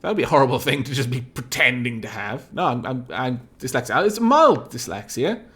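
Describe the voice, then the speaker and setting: Man musing over a game, lively pitch. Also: brisk. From Wales. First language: Welsh. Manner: rambling, thinking aloud, dry asides. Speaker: let's play streamer. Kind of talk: casual gameplay commentary. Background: faint background music.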